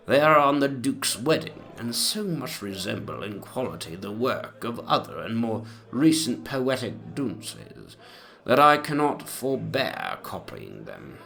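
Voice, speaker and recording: A man playing a very old, rambling gentleman memoirist with a pompous, old-fashioned manner in a dramatic reading. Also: on the low side, vocal fry, exaggerated voice.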